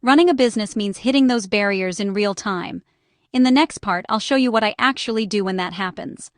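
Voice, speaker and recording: A woman's voice: medium pitch, a little fast, dry recording, studio quality. A woman reading a scripted explainer narration in short, instructional phrases. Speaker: narrator and small business owner explaining accessibility.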